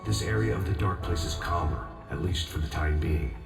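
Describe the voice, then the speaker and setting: An actor playing a calm, cryptic male guide who speaks gravely. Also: sits low, normal speed. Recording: distant echoey voice under loud music.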